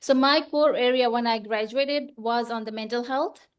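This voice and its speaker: A woman's voice: a little high, moderate pace. A woman from Nepal in her thirties or forties, a nurse, answering interview questions on a video call in a friendly way, explaining things step by step. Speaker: guest, nurse.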